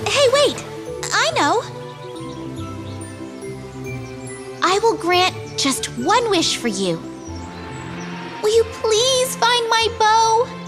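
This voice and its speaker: A petulant little fairy princess from a fantasy game. Her voice is extremely high and girlish, proud and naive, drawn out expressively over soft background music. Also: big pitch swings, slow, heavily acted. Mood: pleading. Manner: girlish, emotive, haughty. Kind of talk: animated video game acting.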